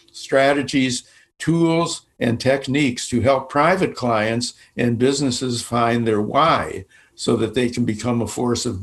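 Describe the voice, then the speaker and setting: A man hosting a podcast who reads a measured guest introduction, drawing out some words, and then asks questions. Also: mid-range voice, fairly bright tone. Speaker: podcast host.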